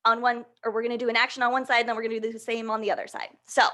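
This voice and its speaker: A woman hosting a storytime for young children, reading and leading action rhymes in a playful, encouraging, animated way. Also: a little high, racing. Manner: playful, encouraging, checks in with listeners.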